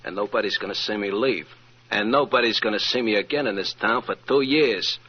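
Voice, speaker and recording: A man's voice: lowish voice, a little fast, slightly rough. A man acting a cocky, thuggish hired killer with slangy tough-guy talk in an old-time radio crime drama. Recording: muffled old radio recording.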